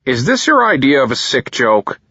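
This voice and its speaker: A man's voice: low voice, big pitch swings, takes it slowly, fairly bright tone, dry recording, full character voice. A man playing a furious, stern father. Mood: angry. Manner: stern, condescending. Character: harsh, furious middle-aged father. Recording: dull, dry recording.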